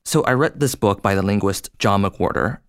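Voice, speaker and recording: A man's voice: fairly low pitch, moderate pace, fairly bright tone, dry recording, studio quality. A young man from China co-hosting a podcast, explaining ideas in a thoughtful, conversational way. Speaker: podcast co-host.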